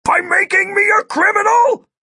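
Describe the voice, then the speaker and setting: Shrill, bellowing angry agent. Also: male voice, normal speed, no room sound, clean studio sound, exaggerated voice. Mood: angry, incredulous. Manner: shouting, whiny, exasperated protests. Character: bellowing, hot-tempered, indignant male federal agent. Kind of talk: audiobook character voice.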